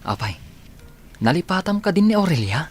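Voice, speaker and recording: A man's voice: fairly high pitch, big pitch swings, normal speed, soft background music. A man playing an easygoing, frank young friend in an Ilocano radio drama. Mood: questioning, advising.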